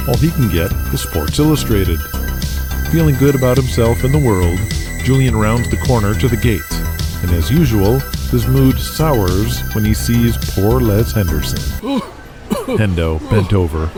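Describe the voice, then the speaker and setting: Low-voiced man narrating over loud music. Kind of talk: dramatic scripted narration of an audio drama.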